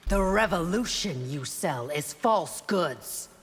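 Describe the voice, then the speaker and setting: A woman playing a devout, steadfast palace guard in a fighting game, firm and measured. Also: fairly low pitch, animated, husky, big-room sound, exaggerated voice. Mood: disdainful. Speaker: video game character. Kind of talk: dramatic fighting game dialogue.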